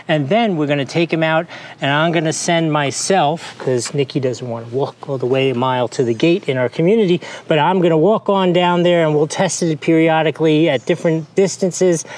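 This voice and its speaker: An American man in his forties giving a casual, unscripted product review to camera, recorded outdoors on a lapel mic. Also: a little high. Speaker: product reviewer, video host. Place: mostly outdoors in a driveway and street. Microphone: clip-on lapel mic. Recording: clear outdoor background noise, passing vehicles.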